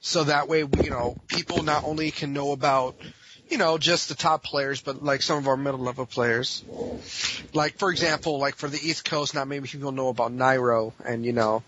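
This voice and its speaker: A man's voice: medium pitch, fairly even intonation, normal speed. A man speaking as a podcast guest in casual, informal, slangy chat. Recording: dull audio with faint noise.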